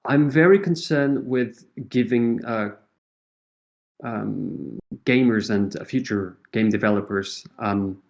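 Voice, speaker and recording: Man, Portuguese accent, middle-aged, lowish voice, halting with fillers. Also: vocal fry. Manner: thoughtful, many fillers and restarts. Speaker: associate professor, guest. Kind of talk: interview answers.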